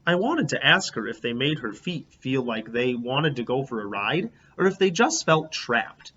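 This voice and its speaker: A man reading a children's storybook aloud as a teacher, in an expressive, animated, emphatic way. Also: mid-range voice, normal speed, highs cut off, a little hiss or hum. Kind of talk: expressive storybook read-aloud in a child narrator's first person.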